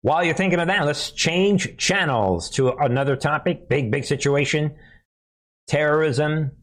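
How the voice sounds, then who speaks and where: A man's voice: fairly high pitch, moderate pace. A man hosting an informal commentary show, speaking to viewers in short, preachy, alarmed phrases with sarcastic asides. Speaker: host and commentator.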